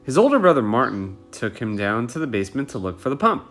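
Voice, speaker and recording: Man reading a picture book, animated delivery. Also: mid-range voice, quick pace, soft background music. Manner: animated, addresses young listeners. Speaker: read-aloud storyteller.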